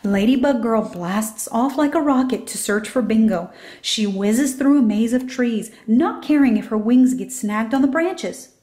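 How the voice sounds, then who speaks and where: A woman's voice: on the high side, animated, unhurried, warm tone. A woman reading a children's story aloud as scripted narration. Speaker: storybook reader.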